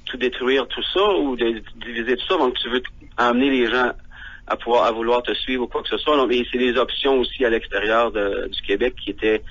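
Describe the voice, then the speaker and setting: A man from Ontario who speaks answers radio interview questions over a dull, lo-fi line. His pitch sits in the middle, and his speech is halting and full of fillers and restarts. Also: fairly bright tone, slightly rough. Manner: hesitant, frequent fillers and restarts. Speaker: guest on phone, teacher. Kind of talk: phone interview.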